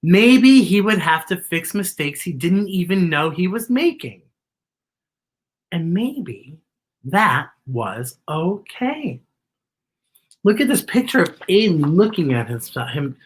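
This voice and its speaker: Kids' read-aloud host, mid-pitched and husky, very expressive, pointing things out to listeners. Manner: expressive, exaggerated storytelling, pausing to point out pictures. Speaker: children's story-time host reading a picture book aloud. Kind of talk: animated read-aloud for children with asides to the listeners.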